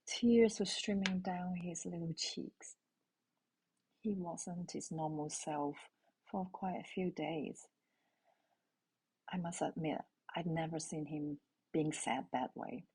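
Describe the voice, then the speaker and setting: A middle-aged woman from Hong Kong whose first language is Cantonese, speaking at an unhurried, deliberate pace. Her voice is low and dark, with a husky, gravelly edge. She tells a personal parenting story in a calm, intimate way and often turns to ask her listeners questions. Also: poor audio. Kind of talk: reflective spoken essay.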